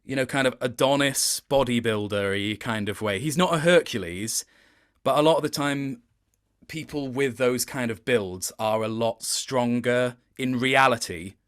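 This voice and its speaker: A man's voice: mid-range voice, a little slow, a bit bright, clean tone. A man talking as a voice actor guest in a casual interview, telling anecdotes in a hesitant, rambling, appreciative way.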